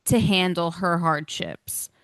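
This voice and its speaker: A woman's voice: on the low side, takes it slowly, fairly bright tone, no room sound. A woman co-hosting a podcast, talking casually and sympathetically in a hesitant, conversational way. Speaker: podcast co-host. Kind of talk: casual discussion.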